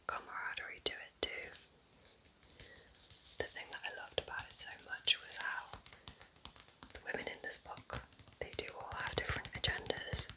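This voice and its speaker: A woman whispering gently and informally in an intimate, unhurried ASMR style, as a host giving personal recommendations. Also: rough recording.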